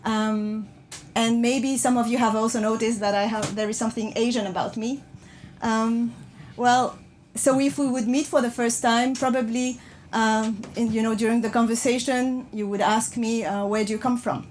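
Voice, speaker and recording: A woman's voice: a little high, steady tone, normal speed, darkish tone. A woman who speaks English as a non-native language, Portuguese being her first, giving a hesitant, conversational talk to passengers in a train carriage. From born in Brazil, grew up in Pakistan, Japan and France. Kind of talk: public talk to a live audience. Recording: noisy moving train carriage. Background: clear background noise, train ambience.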